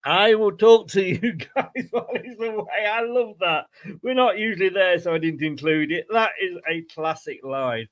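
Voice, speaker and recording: A man's voice: high voice, moderate pace, a bit bright. A man hosting a casual football livestream in a jokey, teasing way, given to laughing as he talks. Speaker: host of a football fan channel show.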